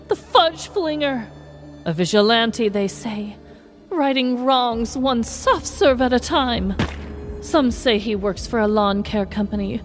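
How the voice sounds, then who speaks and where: Casting: a woman voicing a nosy, melodramatic suburban neighbor lady, high-ish voice, soft music underneath. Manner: theatrical, conspiratorial, hushed storytelling. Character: nosy dramatic middle-aged woman neighbor. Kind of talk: comedy audio sketch.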